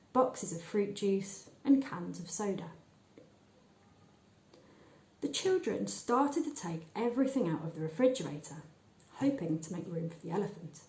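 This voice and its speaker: A woman reading a short story aloud in a measured, expressive storytelling manner with deliberate pauses. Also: mid-range voice, reverberant. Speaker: story reader. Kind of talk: scripted narration of a short story.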